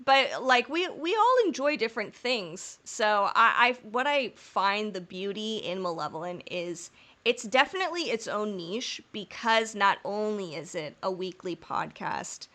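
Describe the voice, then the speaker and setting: A woman's voice: mid-range voice, lively intonation, unhurried, sharp tone. A woman co-hosting a podcast in casual chat. She talks in long, rambling, candid stretches, swears freely and laughs through her own jokes. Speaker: podcast co-host.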